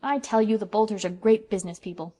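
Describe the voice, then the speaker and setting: A woman voicing an excitable, chatty little boy who talks eagerly and fast. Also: mid-range voice, close to an everyday voice. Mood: excited.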